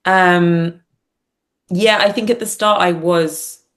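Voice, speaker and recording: A woman's voice: on the low side, steady tone, slow, a bit bright. A woman talking reflectively as an interview guest, hesitant, thoughtful and self-effacing. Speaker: podcast guest, playwright and performer.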